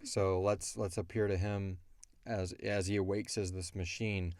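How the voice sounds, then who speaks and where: A man with a fairly low voice hosts a casual podcast. He talks in a rambling, explanatory way, and the recording is dry with little room sound. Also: fairly even intonation, measured pace, darkish tone, no room sound. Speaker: podcast host and show creator answering listener questions.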